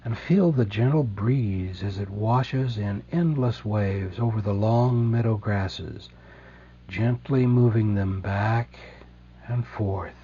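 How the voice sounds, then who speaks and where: A man with a low-pitched, soft and mellow voice guides a meditation. He speaks gently and soothingly, letting each phrase settle before the next. The recording is somewhat muffled, with a faint layer of hum and noise. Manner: slow, soothing, gentle delivery with deliberate pauses.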